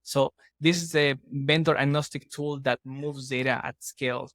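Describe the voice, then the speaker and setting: A man's voice: mid-range voice, steady tone, moderate pace, a bit bright, no echo, clean studio sound. Man. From Chile. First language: Spanish. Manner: earnest, explaining at length with restarts and fillers. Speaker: guest, startup CEO. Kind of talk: casual podcast interview.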